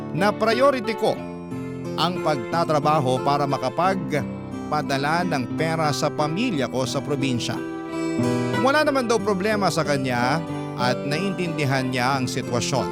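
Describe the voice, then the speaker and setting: A male radio storyteller reads a letter in a measured, sentimental way. His voice is fairly high for a man. Guitar music plays prominently underneath the narration. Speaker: storyteller reading a listener's letter.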